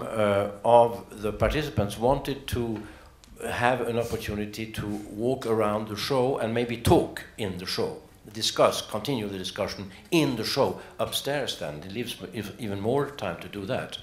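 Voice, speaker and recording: A man's voice: fairly low pitch, normal speed. A man moderating a conference session, speaking hesitantly with many uhs. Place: conference room in a museum. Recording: roomy hall sound.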